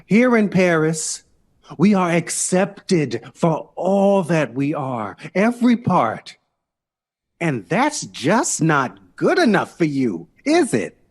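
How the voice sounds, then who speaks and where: A man's voice: high-pitched, very animated, extremely slow, full character voice. An actor playing a proud, wounded male lover and performing artist in a period audio drama. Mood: hurt pleading.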